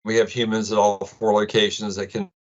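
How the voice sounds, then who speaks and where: A middle-aged man with an American accent giving an informal tech presentation in a casual, halting way full of ums. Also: on the low side, flat delivery, lo-fi sound. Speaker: presenter, IT administrator.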